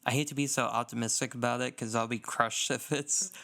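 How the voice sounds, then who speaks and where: A man's voice: mid-range voice, fairly even intonation, brisk, gravelly, bright, forceful tone. A man co-hosting a podcast, talking casually in a loose, rambling way peppered with "like". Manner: loose, rambling, says "like" often. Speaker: podcast co-host.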